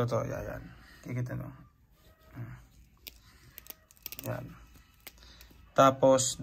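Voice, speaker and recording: A man's voice: medium pitch, gravelly. A man vlogging casually, talking through an unboxing and setup as he handles the gear, informal and mixing in English words.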